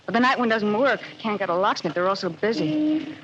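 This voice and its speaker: A woman's voice: medium pitch, animated, a little fast, lightly acted. A woman playing a frightened, stammering housewife. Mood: scared. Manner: stammering, fearful. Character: frightened young housewife, timid and anxious. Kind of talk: 1940s radio acting. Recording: muffled old radio recording with faint hiss.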